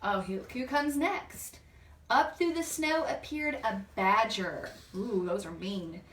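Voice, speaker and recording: A woman's voice: on the high side, slow, a bit bright. A woman reading a children's picture book aloud expressively, with chatty asides and questions to a young listener. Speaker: storybook reader and teacher. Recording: roomy, distant microphone, background noise.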